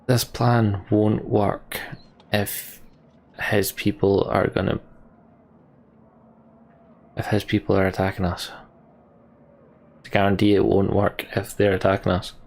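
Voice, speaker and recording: A man provides casual commentary while playing a video game. His voice is gravelly and a little husky, at a medium pitch, and he mutters half to himself in short phrases with an unhurried pace. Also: level tone, darkish tone. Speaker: let's play host reading game dialogue aloud.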